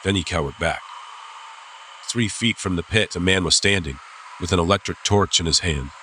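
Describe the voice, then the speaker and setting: Male narrator for an adventure audiobook: low voice, suspenseful delivery, clear ambient sound effects behind. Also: pushed and bright. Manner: suspenseful, deliberate phrasing. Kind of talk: scripted dramatic audiobook narration. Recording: clear background ambience noise.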